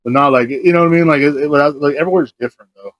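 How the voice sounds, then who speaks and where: A man's voice: on the high side, rapid-fire. A man from Texas co-hosting a podcast, chatty and joking, laughing often in casual conversation. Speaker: podcast co-host. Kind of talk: casual chat.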